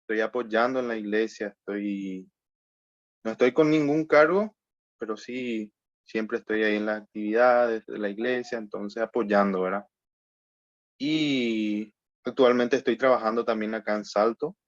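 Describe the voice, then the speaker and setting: Low-voiced Paraguayan man, muffled audio. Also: no room sound. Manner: plain, unhurried, says "¿verdad?" often. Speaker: guest reading scripture. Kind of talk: casual introduction and scripture reading.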